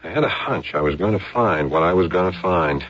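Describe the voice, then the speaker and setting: An American actor playing a jealous, hot-tempered husband in a radio drama, terse and accusing. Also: male voice, low-pitched, animated, fairly quick, a bit bright. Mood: bitter narrating. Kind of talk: radio drama acting with hard-boiled narration. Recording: muffled old radio drama, faint music.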